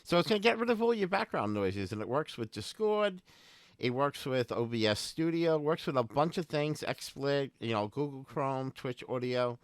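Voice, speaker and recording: Casual man with a clear, dry voice. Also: medium pitch, expressive, normal speed, no room sound. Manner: informal, repeats himself, addresses viewers directly. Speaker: tech and gaming channel host. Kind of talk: casual tutorial demonstration.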